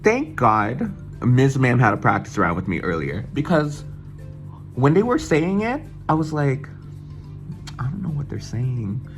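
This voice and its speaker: A young man from the United States telling an excited, swearing storytime vlog and mimicking other people's voices as he goes. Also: medium pitch, measured pace, music in the background. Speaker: vlogger. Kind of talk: casual storytime chat.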